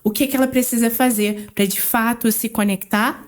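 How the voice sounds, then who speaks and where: A woman with a Rio de Janeiro accent, co-hosting a casual podcast and talking with animated emphasis. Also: on the high side, normal speed. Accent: Rio de Janeiro Portuguese. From Rio de Janeiro, Brazil. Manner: animated, emphatic, laughs easily. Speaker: podcast co-host.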